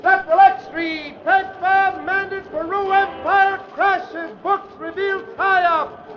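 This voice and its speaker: A man playing a street newsboy crying out headlines in sing-song shouts in an old radio drama. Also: very high voice, steady tone, takes it slowly. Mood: urgent hawking. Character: shouting street newsboy hawking extra editions. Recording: muffled old radio, music and crowd.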